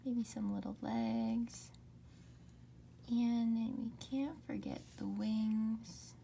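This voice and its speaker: A young woman talks slowly and softly into a close mic, often slipping into a whisper as she leads an ASMR painting tutorial. Her low, mellow tone has a gravelly, fry-laced edge. A faint hiss sits under the voice. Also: steady tone. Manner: soft, often whispered, unhurried. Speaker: art tutorial host. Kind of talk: soft-spoken ASMR drawing tutorial.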